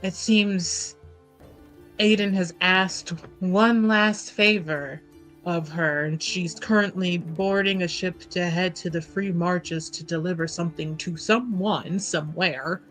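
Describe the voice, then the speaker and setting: Female player as poised fantasy gentlewoman adventurer: formal, polite, lowish voice, unhurried. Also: fairly even intonation, faint music behind, rough recording. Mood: matter-of-fact. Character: poised, courteous adventurer woman named Ade, keeping composure.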